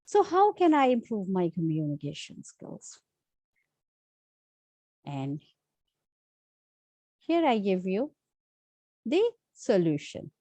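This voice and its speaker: A woman's voice: mid-range voice, sing-song, takes it slowly, long pauses, warm tone, dry recording. A woman from India with an Indian accent, whose first languages are Hindi and Urdu, hosting an unscripted lesson with many fillers and restarts. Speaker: channel host giving tips. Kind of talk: informal talk-to-camera lesson.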